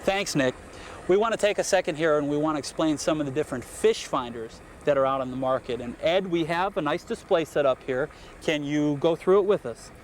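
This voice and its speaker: Upbeat boating show host, fairly high. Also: male voice, brisk, a little hiss or hum. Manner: upbeat, prompting guest questions. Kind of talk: TV how-to presenting.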